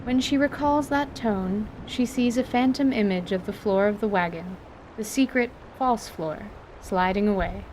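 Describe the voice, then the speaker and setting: A woman's voice: medium pitch, normal speed, darkish tone, slight background noise, rough recording. A woman giving scripted, dramatic narration of a fantasy story as its narrator.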